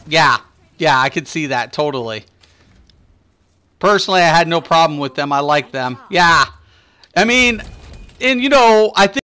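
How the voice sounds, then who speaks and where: A man's voice: fairly high pitch, bright, forceful tone, clear, resonant tone. A man streaming a game, chatting casually and rambling with lots of fillers while thanking his viewers. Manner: talks to chat viewers by name; laughs often, playful. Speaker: gaming streamer. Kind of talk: casual live-stream chat.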